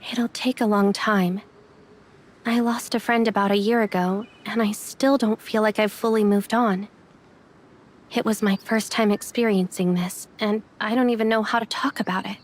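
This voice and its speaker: A woman playing a caring but reserved young woman in an anime game, speaking gently and reassuringly. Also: medium pitch, fairly even intonation, normal speed, close to an everyday voice. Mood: gentle, reflective.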